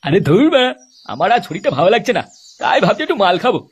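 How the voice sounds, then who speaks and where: An actor voicing a grumpy, foul-mouthed old grandfather in a comic cartoon, rough and scolding. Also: mid-range voice, very animated, very fast. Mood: evasive. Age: older. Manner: scolding, blustering.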